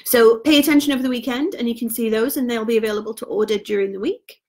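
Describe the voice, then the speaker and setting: A woman's voice: on the high side, fast talker. Chatty woman selling beauty gifts. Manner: chatty and informal, trails off quietly. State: voice going hoarse. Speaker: beauty product consultant. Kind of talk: casual live-stream sales chat.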